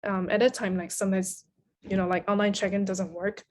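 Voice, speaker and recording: A woman's voice: mid-range voice, level tone, fairly quick. A woman from Taiwan, a guest on a podcast, speaking thoughtfully in casual conversation. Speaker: guest translator.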